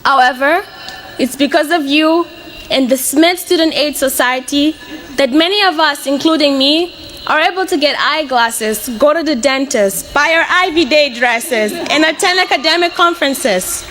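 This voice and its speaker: A woman's voice: high voice, normal speed, a bit bright, a little hiss or hum. A woman in her twenties with a Mozambican accent and Portuguese as her first language, giving an earnest, prepared personal speech as a student speaker. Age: 20s.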